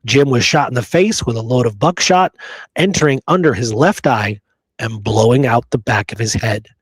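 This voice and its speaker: A man's voice: mid-range voice, normal speed, husky, no echo. A man co-hosting a history talk show, reading accounts aloud and adding casual remarks. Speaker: show co-host.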